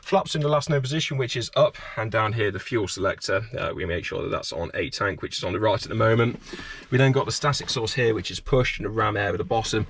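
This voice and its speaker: A man's voice: lowish voice, lively intonation, quick pace, hardly any pauses, fairly bright tone. A man presenting as a pilot, methodically talking through hands-on cockpit checks item by item. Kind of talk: hands-on cockpit procedure walkthrough. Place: small turboprop aircraft cockpit. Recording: muffled audio with clear aircraft noise.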